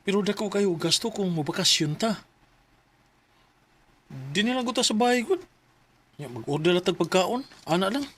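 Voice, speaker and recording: A Cebuano actor playing a self-centred, stubborn young boyfriend in a radio drama, with a casual, dismissive manner. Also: male voice, high voice, animated, moderate pace, pauses often, fairly bright tone, slight background noise. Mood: reluctant. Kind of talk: Filipino radio drama acting.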